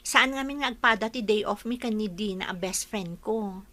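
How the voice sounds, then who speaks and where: A woman's voice: medium pitch, normal speed, fairly bright tone, dry recording, close to an everyday voice. A young woman acting a sincere, heartbroken young nurse in an Ilocano radio-style drama, speaking earnestly. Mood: wistful, sincere. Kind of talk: Ilocano audio drama dialogue.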